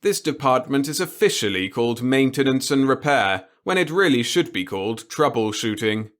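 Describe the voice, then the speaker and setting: A man's voice: medium pitch, animated, moderate pace, fairly bright tone, pitched above the performer's own voice, close to an everyday voice. A man voicing a gleefully tyrannical old boss in a sci-fi audiobook, gloating and lecturing as he browbeats his staff.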